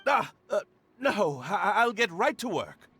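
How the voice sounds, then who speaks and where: A man playing an earnest, apologetic, bumbling young gentleman in an anime video game, speaking formally and fussily with nervous hesitation. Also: high-pitched, very animated, unhurried, slight background noise, full character voice. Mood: flustered, eager. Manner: formal wording, fussy, nervous, throat-clearing. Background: game music.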